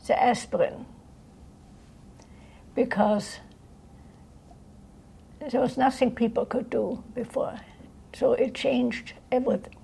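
Old lady from austria telling her life story, husky and mellow, stops to hunt for words. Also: on the high side, steady tone, poor audio. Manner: halting, searching for words. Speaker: interviewee recounting life history. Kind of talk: unscripted reminiscence. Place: indoors in own home.

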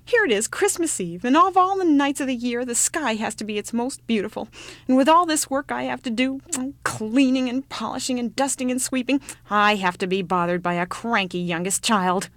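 A woman's voice: a little high, normal speed, no echo, pitched above the performer's own voice, lightly acted. A woman voicing the Star Mother, a harried heavenly mother who scolds and fusses at her youngest child. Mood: scolding. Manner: raised, scolding and fussing. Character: busy, bossy celestial Star Mother.

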